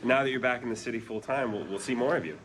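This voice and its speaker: A man's voice: medium pitch, fast talker, natural voice. A man playing an earnest, nerdy architect father who is proud and wistful, reading a comedy script. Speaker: actor at a sitcom table read. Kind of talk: comedic script read aloud before an audience. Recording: noisy live room.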